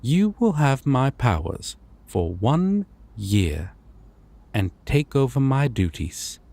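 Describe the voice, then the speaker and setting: A man playing a courteous, solemn god of dreams in a fantasy audio roleplay, with formal, deliberate phrasing. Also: mid-range voice, very animated, gravelly, dark tone, dry recording, exaggerated voice. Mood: calm, formal.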